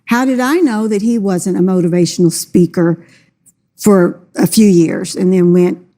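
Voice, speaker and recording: A woman's voice: medium pitch, fairly even intonation, measured pace, dark tone. A woman as a talk show guest, answering in a hesitant, defensive way that trails off. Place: tv studio.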